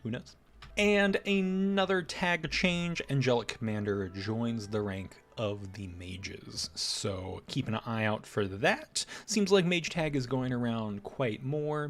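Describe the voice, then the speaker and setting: A man presenting game patch notes in an upbeat, conversational commentary style. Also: on the low side. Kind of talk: casual scripted game update commentary.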